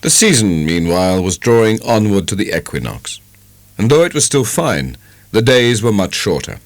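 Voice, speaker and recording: A man narrating a literary audiobook in an expressive, dramatic storytelling style. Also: lowish voice, moderate pace, no room sound.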